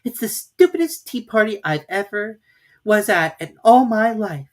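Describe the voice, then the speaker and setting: A woman's voice: mid-range voice, big pitch swings, measured pace, voice pushed up. A woman voicing a polite but easily offended young girl in a storybook, questioning in a careful, prim way. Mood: indignant, curious.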